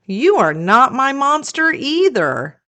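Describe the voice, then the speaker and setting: A woman reading a picture book aloud to children as a storytime host, in a deliberate storyteller's delivery. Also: high voice, sing-song, background noise.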